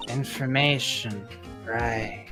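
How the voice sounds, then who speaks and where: A woman's voice: extremely low pitch, extremely slow, full character voice. A woman voicing an earnest young female defense lawyer, reading video game dialogue aloud. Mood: pensive. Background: game music.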